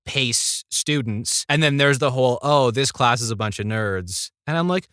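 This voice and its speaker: A man telling stories casually as a podcast guest, in an animated way that acts out what people said. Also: medium pitch, moderate pace, clear, resonant tone, no room sound, clean studio sound. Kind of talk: casual conversational storytelling.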